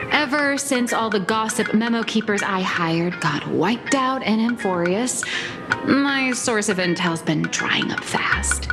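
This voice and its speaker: A woman playing a whimsical, scheming comic artist in a video game, speaking in a theatrical, measured way. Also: on the high side. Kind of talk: video game character acting. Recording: background music behind the voice.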